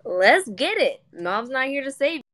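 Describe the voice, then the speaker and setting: A woman's voice: high voice, big pitch swings, normal speed, a bit bright, no room sound, clean studio sound. A woman playing a feisty but anxious young sister in a science-fiction screenplay table read. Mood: playful. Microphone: remote audio chat app. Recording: clicky, noisy online audio-chat connection.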